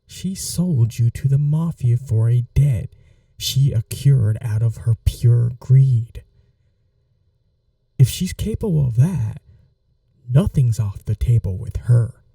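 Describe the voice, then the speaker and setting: A man acts out a calm mafia boss with a very dark, mellow voice and a gravelly creak. He speaks softly and slowly in an ASMR roleplay style. His manner is protective, reassuring and teasingly flirty. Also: mid-range voice, dry recording, recorded from a distance, heavily acted. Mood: firm, protective. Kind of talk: soft-spoken ASMR roleplay acting.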